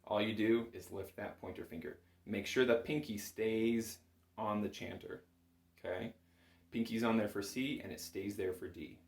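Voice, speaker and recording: A man's voice: fairly low pitch, fairly even intonation, moderate pace, creaky, a little mellow. A man giving a casual step-by-step music lesson as an instructor, informal, patient and encouraging. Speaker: bagpipe chanter instructor. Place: small indoor music room at home. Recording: roomy, echoing home room sound.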